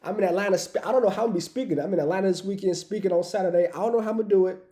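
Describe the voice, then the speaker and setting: Man, livestream host, raspy voice, stuffy nose, slightly high, dark mellow tone, upbeat. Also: rapid-fire. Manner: upbeat, hypes the chat, says "y'all". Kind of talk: casual livestream talk.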